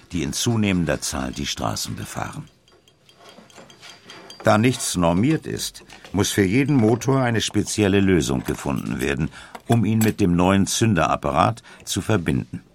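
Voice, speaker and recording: Deep, creaky male documentary narrator. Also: sing-song, normal speed, a little hiss or hum. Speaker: audiobook narrator.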